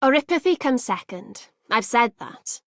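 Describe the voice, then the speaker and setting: A woman's voice: fairly high pitch, sing-song, measured pace, fairly bright tone, slight background noise. A woman voicing a carefree, headstrong young woman in a video game, breezy and colloquial. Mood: defiant, upbeat. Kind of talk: video game character voice lines.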